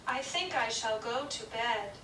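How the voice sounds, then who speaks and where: A woman doing a weary, gentle mother. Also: fairly high pitch, measured pace. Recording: distant, roomy, noisy room.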